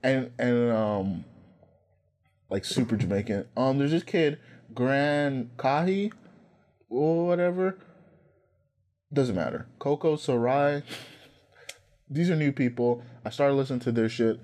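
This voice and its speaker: A man's voice: mid-range voice, animated, slow, long pauses, a little mellow. A man hosting a podcast in casual chat, rambling with lots of fillers and swearing. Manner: rambling, profane, filler-heavy. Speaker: podcast host.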